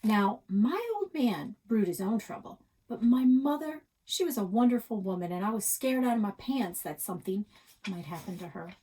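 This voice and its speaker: Female storyteller for read-aloud fiction; husky middle register, expressive and dramatic delivery. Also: medium pitch, moderate pace, poor audio. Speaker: librarian reading a children's novel aloud.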